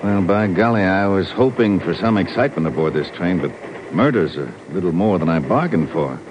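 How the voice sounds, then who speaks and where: Folksy, slightly bumbling pal, deep swooping delivery, muffled vintage radio sound. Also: male voice, expressive. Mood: folksy, regretful. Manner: folksy, hesitant, drawn-out. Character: folksy, genial, slightly bumbling male travelling companion. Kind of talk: 1950s radio drama acting. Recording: muffled, very noisy 1950s radio recording.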